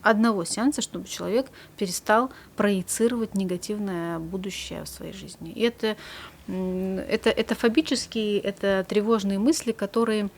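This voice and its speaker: A woman psychologist, a guest in a studio conversation, calmly explaining and trailing off softly at the ends of her phrases. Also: mid-range voice, moderate pace, a little hiss or hum.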